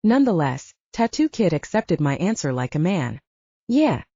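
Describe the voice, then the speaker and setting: A woman narrates an audiobook story in a relaxed, dark-toned voice. Her pitch moves around a lot, and the recording is very dry and a little dull. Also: moderate pace, slightly rough, no room sound. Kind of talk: scripted fiction narration.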